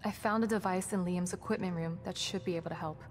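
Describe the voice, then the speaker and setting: An actress playing a composed, earnest scientist ally in a video game, speaking in a measured, sincere and practical way. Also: female voice, on the low side, steady tone, a little hiss or hum, lightly acted. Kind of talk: cinematic video game acting. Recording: music under the dialogue. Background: music.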